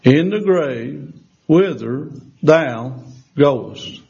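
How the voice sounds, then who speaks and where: A man's voice: a little high, lively intonation, drawn-out words, creaky, a little mellow. A man in his sixties preaching a folksy sermon in a church, with emphatic rises and call-and-response. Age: 60s. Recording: dull lo-fi sermon recording.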